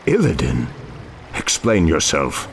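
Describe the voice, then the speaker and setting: A man playing a noble, stern night elf druid leader in a fantasy video game, speaking gravely and formally with a gravelly voice. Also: extremely low pitch, wildly swooping pitch, takes it slowly, slight background noise, full character voice. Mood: stern resolve.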